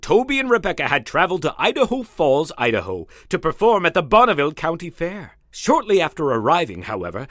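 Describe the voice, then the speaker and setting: A man's voice: a little high, sharp tone, no echo, clean studio sound. A man narrating in a grandiose, theatrical old-time radio serial style. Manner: grandiose, dramatic. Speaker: announcer and narrator. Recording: music under the voice. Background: music.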